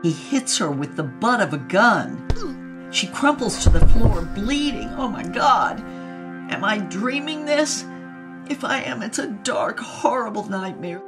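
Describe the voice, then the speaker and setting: A woman's voice: mid-range voice, takes it slowly. Theatrical actress as a dreamy woman adventurer over music. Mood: frantic, horrified. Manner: theatrical, expressive. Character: giddy, dreamy woman adventurer narrating her psychedelic healing turned kidnapping. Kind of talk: dramatic first-person narration with poetic recitation.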